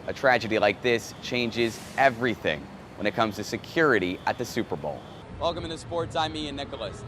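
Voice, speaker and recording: A man's voice: mid-range voice, a little hiss or hum. A man working as a sports anchor and reporter, with a playful, emphatic broadcast delivery. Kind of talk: sports broadcast narration and desk banter.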